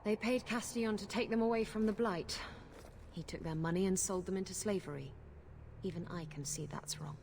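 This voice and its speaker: An actress playing a brash, flirtatious pirate captain in a video game cutscene, teasing and sardonic. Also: female voice, mid-range voice, a little fast, a little mellow, slight background noise, lightly acted. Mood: sober, reflective.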